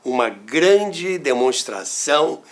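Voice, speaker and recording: A man with a Brazilian accent narrating scripted stories about radio history as a host, in a deliberate, dramatic storytelling manner. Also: on the high side, expressive.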